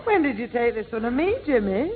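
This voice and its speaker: A woman playing a firm, exasperated middle-aged mother in a 1960s British radio sitcom, brisk and scolding. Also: fairly high pitch, very animated, loud music behind the voice. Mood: curious, amused. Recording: tinny, noisy old radio recording.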